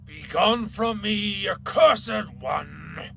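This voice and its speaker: A man acting a stern, pompous Irish parish priest in a dramatic story reading, slow and commanding in his delivery. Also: sits high, gravelly, fairly bright tone, faint music behind, full character voice. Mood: condemning. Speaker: actor.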